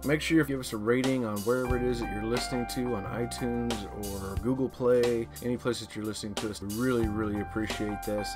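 A man's voice: mid-range voice, fairly even intonation, fast talker, hardly any pauses. Casual male podcast host over guitar music.

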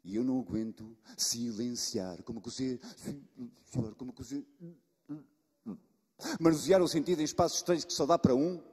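A man performing slam poetry dramatically, repeating words and shifting between loud and hushed delivery. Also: mid-range voice, normal speed, slightly rough. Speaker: slam poet. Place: live stage in a reverberant hall. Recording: reverberant hall, live stage.

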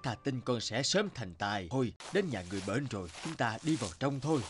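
A man voicing an elderly village herbal healer in a folk-tale cartoon, a wise, grave teacher with a measured, instructive manner. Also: mid-range voice, big pitch swings, natural voice. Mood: wise, teaching. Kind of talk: animated folk tale dubbing. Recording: music under the voice.

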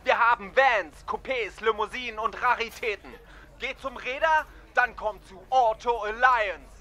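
A man playing a brash, bombastic used-car dealer shouting slogans in an ad pitch. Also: unhurried, exaggerated voice. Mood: brash, salesy. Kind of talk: high-energy car ad pitch.

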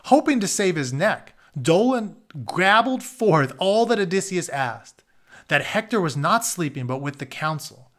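A man in his thirties with a slightly high voice reads aloud from a storybook. He moves between narration and casual, plain-worded explanations for his listeners, recorded at a desk in a room at home. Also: very animated, measured pace, a bit bright. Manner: informal, explains words in plain terms. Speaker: storyteller reading a book aloud. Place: carpeted home office, indoors.

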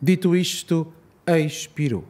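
A man with a European Portuguese accent leading a rosary, reciting solemn prayers in a measured, reverent way. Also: a little high, a little mellow.

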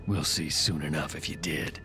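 An actor playing a menacing middle-aged American ex-soldier turned villain in a video game, taunting and deliberate. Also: male voice, extremely low pitch, steady tone. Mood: cold threat. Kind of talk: video game cutscene acting. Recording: game music behind.

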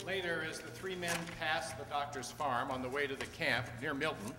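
A solemn storyteller narrates an old-time radio western, performed by a man. His dramatic, scripted delivery sits a little high in pitch. The voice carries hall reverb. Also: fairly even intonation, normal speed, over loud music, close to an everyday voice. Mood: suspenseful. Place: stage in a community hall. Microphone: stand microphone. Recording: echoey hall stage.

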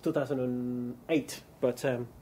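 A man's voice: mid-range voice, fairly even intonation, a little slow, dark tone. A man from Chile with a Chilean accent, giving a keynote business presentation with frequent ums and restarts. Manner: hesitant, many ums and restarts. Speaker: keynote speaker.

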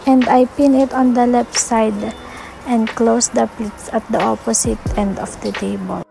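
A woman's voice: fairly high pitch, fairly even intonation, a little slow, creaky, low-quality recording. A young woman, reading scripted step-by-step narration as a student demonstrator. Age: young adult. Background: music.

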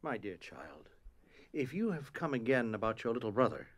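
A man's voice: medium pitch, big pitch swings, moderate pace. A man playing a stern, stuffy school principal with a pompous, formal manner in an audio drama.

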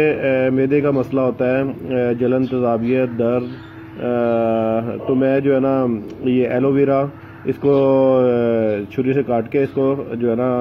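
A man's voice: medium pitch, slight background noise. A man in an easygoing outdoor gardening vlog, his voice caught on a handheld phone with dull, muffled sound. He talks in a mid-range voice, stopping often with filler sounds, and faint open-air ambience sits behind him. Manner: hesitant, many filler sounds and pauses. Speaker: gardening channel host. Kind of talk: casual home gardening vlog. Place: outdoors among potted plants.

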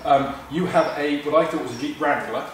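A man's voice: on the high side, brisk, a little hiss or hum. Casual male magazine interviewer, filler-heavy; recorded far off in an echoey workshop. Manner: chatty, many ums and fillers. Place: large workshop garage, indoors.

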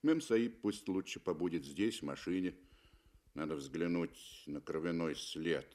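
A man's voice: sits low, animated, unhurried, a little mellow, pitched below the performer's own voice. A man voicing an unflappable hunting guide, curt and matter-of-fact, in a 1960s radio play. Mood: calm, practical.

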